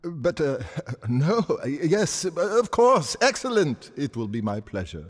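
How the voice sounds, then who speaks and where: An awkward man trying to placate someone in a TV drama. His voice is husky and swings freely in pitch, polite but hesitant. It rings in a long reverberant space. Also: sing-song, measured pace. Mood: awkwardly polite. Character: awkward, gently coaxing man humouring a young lady. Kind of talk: TV drama acting.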